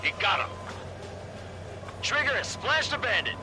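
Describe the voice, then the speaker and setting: An actor playing a blunt, combative allied fighter pilot in video game radio chatter. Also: male voice, very high voice, sing-song, unhurried, music in the background, full character voice. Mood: impressed.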